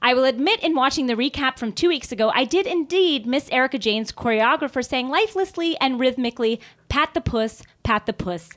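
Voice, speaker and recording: A woman's voice: sits high, animated, a little fast, hardly any pauses, a bit bright. A woman hosting a comedy podcast, playful and giggly as she chats casually and reads out an email. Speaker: podcast host. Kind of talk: casual comic chat and reading out an email.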